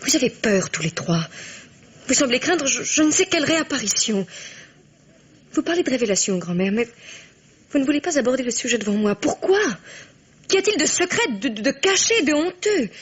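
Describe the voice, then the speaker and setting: A woman's voice: sits high, big pitch swings, brisk, long pauses. A young woman playing an earnest, emphatic daughter of twenty-two in a French radio drama. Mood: indignant. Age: young adult.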